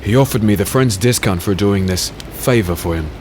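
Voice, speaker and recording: A man acts as a haunted, protective fantasy swordsman with a low voice. He speaks gravely and earnestly, leaving dramatic pauses, over music in a dark audio drama. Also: natural voice. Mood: grave, reluctant.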